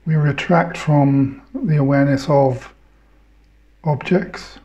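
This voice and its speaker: A man leading a guided meditation in measured short phrases, leaving long pauses between them. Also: fairly high pitch, dark tone, highs cut off.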